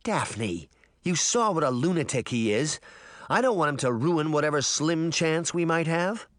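Man voicing a blunt, stubborn young girl, lively pitch. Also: unhurried, no room sound, studio quality. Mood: exasperated. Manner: blunt, sharp retorts. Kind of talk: audiobook character voice.

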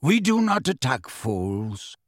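A man voicing a merciful, doleful male centaur with a slow, mournful way of speaking in an audiobook. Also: mid-range voice, big pitch swings, no room sound, studio quality. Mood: doleful calm.

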